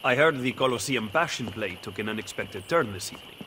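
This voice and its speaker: A man's voice: fairly low pitch, animated, fairly quick, pushed and bright. A man playing a grave, eloquent assassin statesman in a video game drama. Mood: dry. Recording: very echoey hall, some noise.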